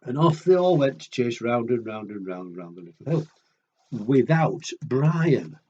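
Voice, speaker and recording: Animated man reading a children's story. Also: medium pitch, unhurried, highs cut off. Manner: expressive, interjects asides and questions to young listeners.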